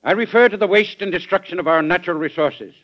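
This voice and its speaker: An actor playing an earnest, indignant American government official in an old-time radio drama, formal and oratorical in delivery. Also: male voice, high-pitched, fast talker, lightly acted. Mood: impassioned. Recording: dull vintage radio recording.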